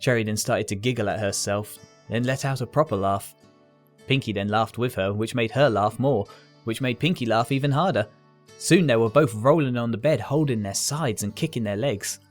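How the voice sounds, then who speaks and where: A man reading a scripted fan fiction story as its narrator, with soft guitar music behind him. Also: mid-range voice, moderate pace.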